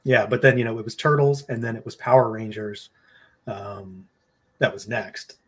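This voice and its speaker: A man in his late thirties chatting casually as a guest, relaxed and rambling with lots of fillers. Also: on the low side, normal speed, slightly rough. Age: 30s. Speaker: guest toy collector. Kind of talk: casual chat.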